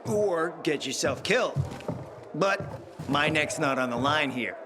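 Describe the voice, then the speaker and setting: A man's voice: a little high, expressive, unhurried, background noise. An actor playing a sly, sardonic male militia schemer in a video game, with a mocking drawl.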